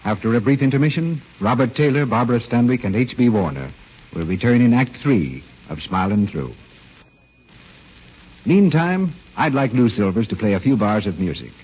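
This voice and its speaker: A man's voice: medium pitch, expressive, normal speed, noisy background. A man announcing as host of a 1930s radio show, in a polished, theatrical scripted style. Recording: old muffled radio broadcast, faint music.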